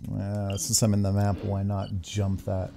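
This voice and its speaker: A man's voice: on the low side, completely flat delivery, a little slow, creaky, noisy background. A man in his thirties giving casual playthrough commentary as a gaming streamer in a room at home. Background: game music and sound.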